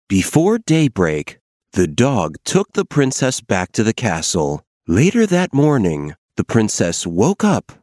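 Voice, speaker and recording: A man narrating a children's fairy tale as an expressive, scripted storyteller. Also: on the low side, takes it slowly, no room sound, clean studio sound.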